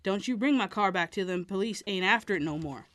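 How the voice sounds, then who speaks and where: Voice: medium pitch, very animated, a little fast, no echo, close to an everyday voice. A reader doing a bossy young woman. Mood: indignant. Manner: sharp, bossy, threatening.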